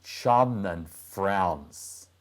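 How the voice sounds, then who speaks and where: A man's voice: deep voice, drawn-out words. A man narrating a children's story as a read-aloud, with wondering asides.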